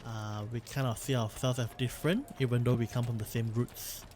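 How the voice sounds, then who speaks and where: A man's voice: mid-range voice, fairly even intonation, brisk, a little mellow, slight background noise. Chatty young man rambling. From Singapore. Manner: rambling, self-correcting, chatty. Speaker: let's play host. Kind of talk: casual gameplay commentary.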